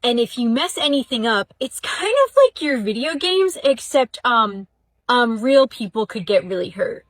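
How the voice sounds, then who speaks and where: A woman's voice: a little high, animated, moderate pace, no echo. A woman giving wry, hesitant commentary with many fillers. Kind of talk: casual sarcastic commentary.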